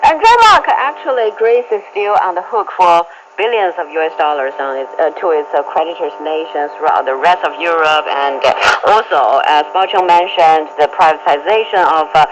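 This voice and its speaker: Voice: mid-range voice, expressive, moderate pace. A radio host moderating a panel discussion and putting prepared questions to guests. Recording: noisy shortwave radio with hum. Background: clear static noise and hum.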